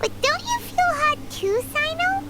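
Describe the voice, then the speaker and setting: A woman voicing a tiny floating childlike companion creature in a game, chirpy, whiny and excitable. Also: extremely high pitch, moderate pace, very noisy. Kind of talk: game voice acting. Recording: game audio with loud music.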